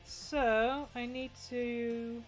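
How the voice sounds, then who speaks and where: A woman's voice: on the high side, little pitch movement, very slow, soft background music. Chatty Dutch-accented woman streaming. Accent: Dutch, with some British English. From Netherlands. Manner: chatty and rambling, many restarts, greets chat. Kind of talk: casual livestream chat while sewing.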